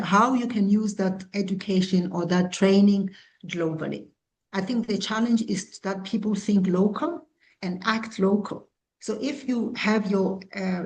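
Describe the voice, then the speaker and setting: A woman in her sixties from South Africa, a founder answering interview questions in an earnest, measured way. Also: medium pitch, darkish tone, poor audio. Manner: earnest, measured, with small restarts. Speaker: guest, founder and CEO.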